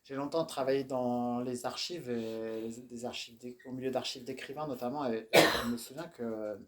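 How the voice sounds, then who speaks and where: A man in a casual discussion, speaking in a relaxed way and thinking aloud with fillers. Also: medium pitch, moderate pace. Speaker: poet.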